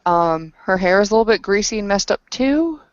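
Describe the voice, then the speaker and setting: A woman narrating a tabletop roleplaying session in a hesitant, halting way. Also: mid-range voice, lively intonation, clean tone, dry recording. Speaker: game master and player. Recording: dull lo-fi voice recording.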